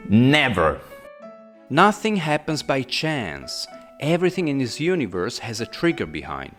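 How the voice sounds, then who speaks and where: A man with an Italian accent, a native Italian speaker, giving a scripted linguistics lesson with clear explanations and playful asides. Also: medium pitch, fairly bright tone. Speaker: linguistics teacher. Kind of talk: scripted educational narration.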